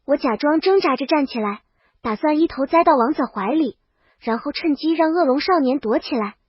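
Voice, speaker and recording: A woman's voice: sits high, lively intonation, brisk, no echo, lo-fi sound. A woman reading a comic first-person story as a scripted audiobook narration.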